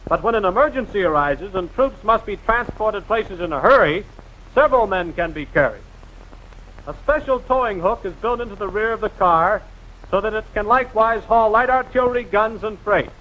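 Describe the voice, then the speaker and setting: High-pitched, bright 1940s American newsreel man. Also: animated, normal speed, slight background noise, poor audio. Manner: emphatic, rousing, formal announcer delivery. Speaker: newsreel narrator. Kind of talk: 1940s newsreel narration, scripted. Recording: vintage 1940s newsreel film soundtrack.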